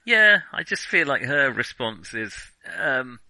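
Bright-voiced man in casual film chat. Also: medium pitch, sing-song, measured pace, dry recording, low-quality recording. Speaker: film podcast co-host.

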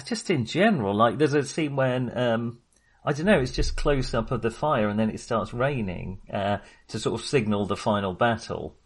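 Male film podcast host. Medium pitch, conversational and keen, loose rambling delivery full of self-corrections. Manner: rambling, enthusiastic, self-interrupting; sweary. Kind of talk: casual film discussion.

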